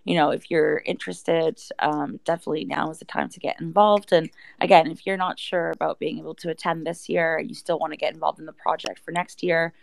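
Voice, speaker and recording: Low-pitched creaky woman, casual promo talk. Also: steady tone, brisk, hardly any pauses. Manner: conversational, peppered with "you know" and "like". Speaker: project team member and guest speaker. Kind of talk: casual promotional talk in a live audio chat.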